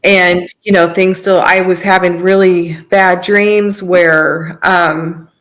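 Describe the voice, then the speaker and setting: Female podcast guest, lowish pitch, conversational personal storytelling. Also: little pitch movement, slow pace, fairly bright tone. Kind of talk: personal testimony in casual conversation.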